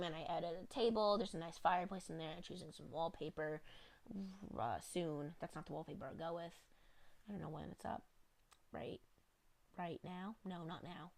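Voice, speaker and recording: A woman with a slightly congested voice, a little sick, narrating a home-building game video in a rambling, apologetic, chatty way with lots of fillers. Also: on the low side.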